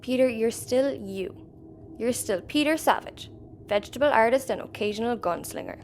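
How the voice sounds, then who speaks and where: An actress playing a tough, wry, guardedly tender spaceship crew member in an audio comedy. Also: female voice, a little high, animated, moderate pace. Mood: earnest comfort. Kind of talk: sci-fi audio comedy acting. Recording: faint background noise.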